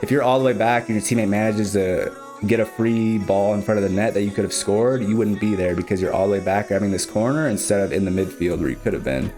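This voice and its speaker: A man's voice: on the low side, fast, hardly any pauses, darkish tone, soft background music. A young man with a Chicago accent, casually explaining game replays as a streamer and coach, speaking directly to the player. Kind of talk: live-streamed replay analysis commentary.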